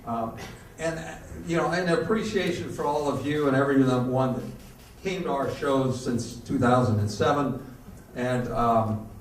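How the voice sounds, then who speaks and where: A man's voice: medium pitch, measured pace. An older man with an American accent, working as an announcer and speaking live on stage to an audience. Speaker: announcer and Foley artist. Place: indoor venue before a live audience. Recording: roomy live stage, distant mic, audience noise.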